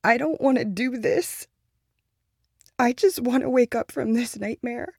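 A woman voicing a grieving young girlfriend who moves between bitter anger and soft whispers, speaking close to the microphone. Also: fairly low pitch, animated, unhurried, vocal fry, slightly rough, dry recording, full character voice. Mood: angry. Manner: shifts between angry outbursts and soft whispers. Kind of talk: audio roleplay to a listener.

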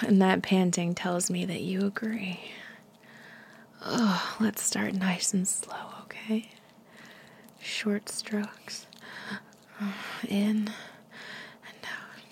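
A woman whispering an intimate audio roleplay, breathy, teasing and commanding. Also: mid-range voice, a little mellow, slight background noise.

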